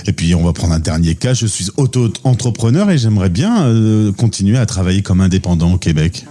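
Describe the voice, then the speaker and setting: A relaxed man hosting a podcast, putting example situations to his guest. His voice sits low and sounds warm. Faint music runs in the background. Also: fairly quick. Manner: relaxed, poses scenarios in first person. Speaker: podcast host. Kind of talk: casual interview questions.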